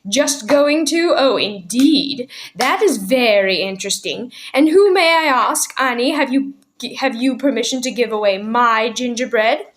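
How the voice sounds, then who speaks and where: Woman reading as a tiny ancient shopkeeper, shrill voice, shrieking, fierce, storybook. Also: expressive, moderate pace, a little hiss or hum. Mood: icy menace. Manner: switches from soft menace to sweetness.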